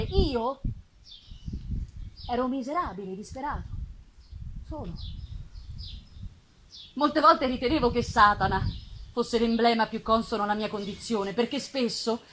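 A woman voicing a lonely, self-loathing male creature in a dramatic literary reading, eloquent and bitter, with measured, solemn phrasing. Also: on the high side, lightly acted. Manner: measured, solemn phrasing with emphatic swells and hushed drops. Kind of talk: dramatic literary reading, live theatrical performance. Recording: live festival recording with faint noise.

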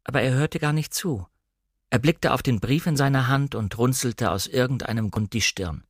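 A man's voice: mid-range voice, normal speed, husky, no echo, lightly acted. Man reading as a shy, nervous female narrator, dry sound. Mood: reflective, intimidated. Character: shy, nervous, insecure young woman narrating her memories. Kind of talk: first-person audiobook narration of a novel.

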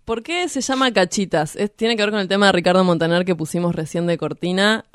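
A woman's voice: fairly low pitch, brisk, clear, resonant tone, no echo, studio quality. A woman with a Rioplatense Argentine accent co-hosting an informal radio show and reading out items. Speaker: radio co-host. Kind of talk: casual radio chat, reading listener messages.

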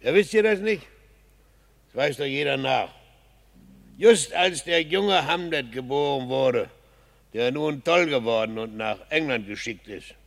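Slow, cheeky old-world gravedigger. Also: male voice, mid-range voice, lively intonation, vocal fry. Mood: cheeky, quibbling. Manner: colloquial, drawling, quibbling, chuckling. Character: cheeky male gravedigger, earthy peasant clown, singing at work. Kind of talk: classical radio drama acting.

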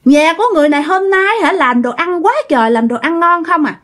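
A woman voicing a hungry, mischievous young female ghost who talks in a high, giggly, taunting way. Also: a little fast, fairly bright tone.

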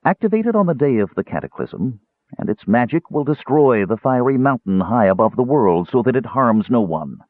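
Man playing male mage, storybook fantasy, cool, cunning, soft-spoken, raspy, commanding, thin radio-like band. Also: medium pitch, big pitch swings, normal speed, no echo, voice pushed up. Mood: insistent. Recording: narrow tinny radio-like band.

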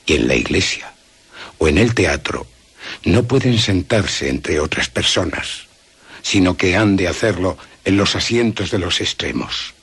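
A man with a Castilian Spanish accent and a rough, husky voice, giving a scripted dramatic reading on radio. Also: low voice, animated, measured pace, fairly bright tone. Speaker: reader of quoted passage.

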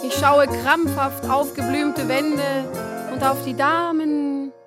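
High-voiced fretful young girlfriend. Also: fairly even intonation. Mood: nervous, tearful. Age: young adult. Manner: measured rhymed recitation, fretful. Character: nervous, fretful, status-minded young girlfriend. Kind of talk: radio play verse monologue to music. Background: loud piano music.

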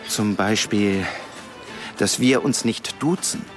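A man's voice: fairly low pitch, takes it slowly, faint music behind. A man acting as a grieving middle-aged father in a radio drama, formal and pointed, bitter and determined. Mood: bitter, challenging.

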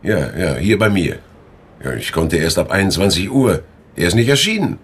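A defensive male boss in a radio drama, husky and rather low. He swings animatedly in pitch, flustered and indignant, stressing his points. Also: sing-song, normal speed, a bit bright, exaggerated voice.

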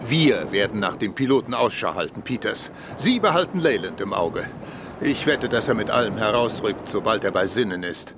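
A man's voice: on the low side, lively intonation, moderate pace, noisy background. A man playing an authoritative, impatient senior police official in a German radio drama, with a commanding, emphatic delivery.

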